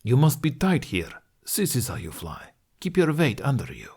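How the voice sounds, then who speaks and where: A man voicing a stern, assured horseman mentor in an audiobook, giving deliberate, clipped instructions in formal phrasing. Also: mid-range voice, wildly swooping pitch, darkish tone, breathy edge, voice pushed up, full character voice. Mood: commanding. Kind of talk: audiobook character acting.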